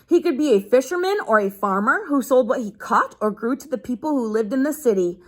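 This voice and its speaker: A woman reading a children's book aloud like a teacher, pausing to explain things simply as if to young pupils. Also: on the high side, expressive. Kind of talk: read-aloud lesson with simple explanatory asides.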